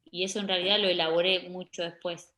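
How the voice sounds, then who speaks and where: A woman with an Argentine accent, a photographer being interviewed, sharing personal memories in a halting, conversational way. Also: fairly low pitch, level tone, bright, forceful tone. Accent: Argentine Spanish.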